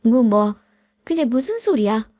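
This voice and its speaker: A woman voicing a long-hurt wife who confronts her childhood bully with sharp, cold retorts. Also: a little high, very animated, measured pace, no room sound, clean studio sound, voice pushed up. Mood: stunned. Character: wife, middle-aged woman, long-hurt and now defiant.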